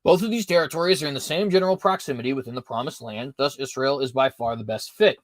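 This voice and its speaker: A man's voice: mid-range voice, quick pace, no echo, studio quality. A man hosting a podcast, reading prepared commentary aloud with frequent fillers and self-corrections. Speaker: podcast host. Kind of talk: apologetics commentary reading notes.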